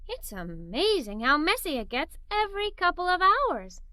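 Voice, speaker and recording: A woman reading a children's story aloud in the first person, with animated, expressive delivery. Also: extremely high pitch, moderate pace, no room sound. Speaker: storybook narrator.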